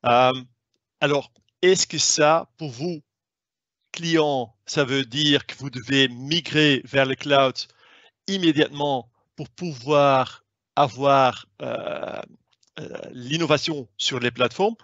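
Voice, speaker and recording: A man's voice: mid-range voice, slow, fairly bright tone, no echo. A man whose first language is not French, presenting a webinar as a sales lead in a hesitant way, with many fillers and restarts. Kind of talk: online presentation. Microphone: video call. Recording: dry, slightly dull online call audio.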